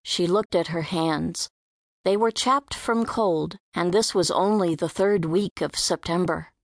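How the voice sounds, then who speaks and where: Female audiobook narrator, lower voice, slow measured delivery, very dry sound. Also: dry recording. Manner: measured, careful reading. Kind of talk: scripted storybook narration.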